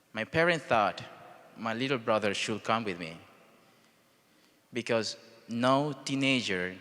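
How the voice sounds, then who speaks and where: A young man from El Salvador with a Salvadoran Spanish accent gives a prepared personal speech in short, deliberate phrases. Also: medium pitch, bright, forceful tone. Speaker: speaker telling his life story at a charity event. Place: large event hall, speaking to an audience. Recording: reverberant large hall sound.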